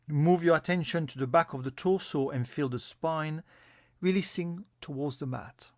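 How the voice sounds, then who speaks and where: A man giving a guided yoga nidra meditation as an instructor, in a calm, soothing, instructional manner. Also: a little high, normal speed, no echo, studio quality.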